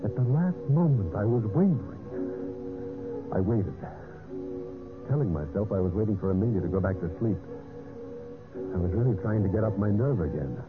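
A scheming husband in a vintage radio mystery. His voice is on the low side and swings widely in pitch, edgy and evasive, on a thin, tinny old broadcast recording. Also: big pitch swings, moderate pace, long pauses, over music. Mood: tense, calculating. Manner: hesitant, stalling with uhs when speaking to others. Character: scheming husband narrating his murder plot, nervously evasive. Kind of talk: 1940s-50s radio mystery acting with first-person narration.

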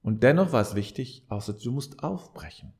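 Guy doing a reflective podcast monologue, mid-pitched voice, earnest n a bit preachy, repeats stuff for emphasis. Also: animated, moderate pace, a little mellow. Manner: emphatic, repeats key phrases. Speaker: podcast host. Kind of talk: reflective advice monologue, addressing the listener directly.

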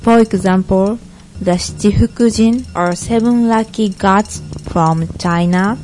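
A woman's voice: medium pitch, a little slow, a little mellow, natural voice. A young woman playing an earnest Buddhist panelist in a scripted student stage play, over music. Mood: earnest, explanatory. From Japan. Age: young adult. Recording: music behind the voice.

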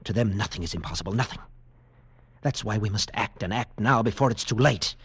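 A man's voice: on the low side, brisk, fairly bright tone, husky, dry recording, full character voice. An actor playing a frightened, desperate military lieutenant, telling his story urgently in 1940s radio drama style. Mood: desperate, pleading. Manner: urgent, intense, pleading. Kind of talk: 1940s radio acting, dramatic first-person narration. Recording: old radio broadcast, faint music behind.

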